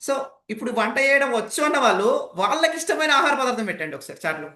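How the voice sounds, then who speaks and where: A man's voice: very high-pitched, lively intonation, fast talker, bright, forceful tone. Echoey man teaching with emphatic delivery. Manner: emphatic, rhetorical, addresses participants with questions. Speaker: voice and public speaking trainer. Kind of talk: interactive online training session, explanatory lecture. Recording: echoey, roomy sound.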